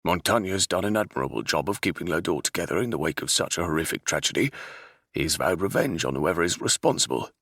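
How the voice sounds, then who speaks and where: A man voicing a gruff, wary older ship captain who growls his words. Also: low-pitched, lively intonation, fast talker, a bit bright, dry recording, studio quality, exaggerated voice.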